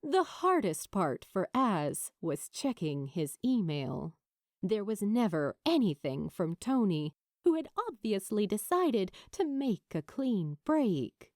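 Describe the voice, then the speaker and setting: A woman narrating fiction as a dramatic storyteller, in a measured, deliberate way with emphatic pauses. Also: medium pitch, sing-song, darkish tone, no echo, studio quality. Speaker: audiobook narrator.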